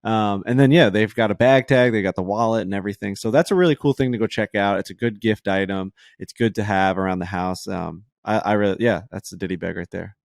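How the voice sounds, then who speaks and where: A man's voice: fairly low pitch, fast, gravelly, few pauses, no echo, studio quality. A man co-hosting a golf podcast, talking through merchandise in an easygoing way, full of "really" and "um". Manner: easygoing, leans on "really" and filler words. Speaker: podcast co-host. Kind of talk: casual ad read.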